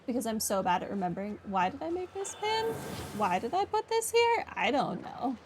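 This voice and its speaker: A woman's voice: a little high, very animated, normal speed, noisy background. A woman giving casual gameplay commentary, talking to herself and to the characters on screen. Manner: talks to herself and to game characters. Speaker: gaming streamer.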